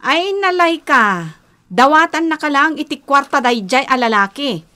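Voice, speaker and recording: Very high-voiced, bossy protective big sister. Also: female voice, lively intonation, unhurried, pushed and bright, heavily acted. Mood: scolding. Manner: scolding, emphatic. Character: protective, bossy older-sister friend, worldly and skeptical. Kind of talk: Ilocano radio drama acting.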